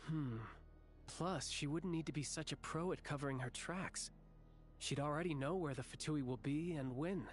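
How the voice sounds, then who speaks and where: High-voiced, playful young gentleman schemer acted by a man. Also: normal speed, close to an everyday voice. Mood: musing, curious. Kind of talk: video game character acting.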